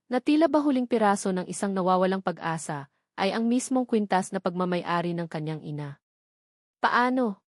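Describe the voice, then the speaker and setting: A woman reads a dramatic story in a steady, measured way, her pitch sitting in the middle range. The recording is close and completely dry, with no room echo. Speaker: narrator. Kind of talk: scripted audio drama narration.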